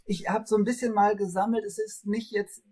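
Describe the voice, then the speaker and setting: Husky male co-host, casual. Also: high voice, level tone, brisk, darkish tone. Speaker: co-host relaying audience questions. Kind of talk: casual moderation. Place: room with bookshelves, indoors. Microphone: video call.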